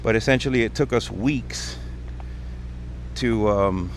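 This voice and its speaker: A man's voice: on the low side, slow pace. Man livestreaming casually over street noise. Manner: explanatory, conversational. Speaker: livestream host. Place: outdoors on a city street by a bike lane. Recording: outdoor street noise, passing vehicles. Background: traffic and urban ambience.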